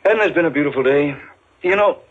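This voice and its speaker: An actor playing an easygoing, affectionate suburban husband and father in a 1950s radio drama, relaxed and colloquial. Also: on the high side, a little fast. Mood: breezy. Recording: muffled, hissy vintage radio recording.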